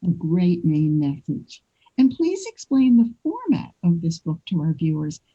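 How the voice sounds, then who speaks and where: Husky, mellow woman hosting an interview. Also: lowish voice, sing-song, a little slow, lo-fi sound. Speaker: interviewer, host. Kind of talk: scripted interview questions.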